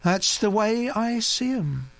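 A man's voice: high voice, sing-song, takes it slowly, a bit bright, no echo, pitched above the performer's own voice, heavily acted. A man reading an audiobook, voicing a young woman artist who talks in a lazy, placid, offhand drawl.

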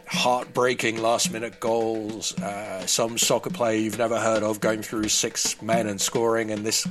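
A man speaking as an interview guest, giving conversational analysis and commentary. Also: lowish voice.

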